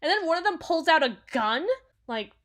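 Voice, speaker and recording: Woman as female villain's field medic, slow deliberate pace, sardonic, brash, protective, dramatic ASMR roleplay delivery, medium pitch. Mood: irritated, livid. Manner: sardonic, dramatic, swings from hushed muttering to outbursts. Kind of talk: intimate ASMR audio roleplay.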